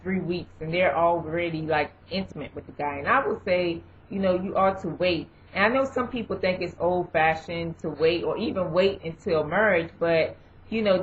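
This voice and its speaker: Low-voiced woman vlogger, dull lo-fi sound. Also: a little hiss or hum. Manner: rambling, leans on "you know" and "um". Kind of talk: casual advice chat. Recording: dull lo-fi recording.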